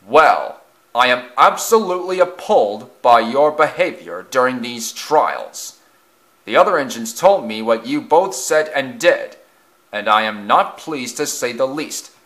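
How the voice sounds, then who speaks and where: A man's voice: a little high, sing-song, measured pace, sharp tone, pitched above the performer's own voice, full character voice. A man voicing a stern, authoritative railway director in a children's story, speaking formally and commandingly. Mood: appalled.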